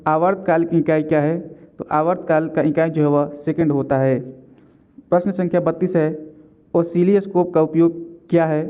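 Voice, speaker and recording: Flat-voiced male tutor, tinny sound. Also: on the high side. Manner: methodical, steady question-then-answer rhythm. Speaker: exam-prep tutor. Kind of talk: reading quiz questions and answers.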